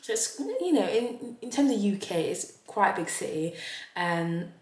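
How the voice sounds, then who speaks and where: A woman, chatting in a casual vlog while doing her makeup. She rambles with lots of fillers and gets animated when she talks about something that surprised her. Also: medium pitch, moderate pace, echoey room. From Leeds, northern England. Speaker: vlogger.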